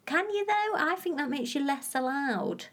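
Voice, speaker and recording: A woman's voice: sits high, lively intonation, moderate pace, a bit bright. Woman, podcast co-host, playful teasing, casual chat.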